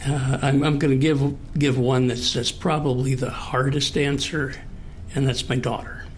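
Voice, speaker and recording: An American man in his late sixties speaking in a halting, thoughtful, earnest way in a personal conversation. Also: medium pitch, fairly even intonation, a little hiss or hum. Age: 60s. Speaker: participant in a recorded one-on-one conversation.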